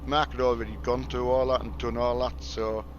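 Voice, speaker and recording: A man chatting casually in a rambling, halting way as he livestreams a driving game. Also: flat delivery, muffled recording. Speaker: game streamer. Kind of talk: casual livestream chat while playing a truck game. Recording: loud vehicle engine rumble behind. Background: truck game engine and road noise.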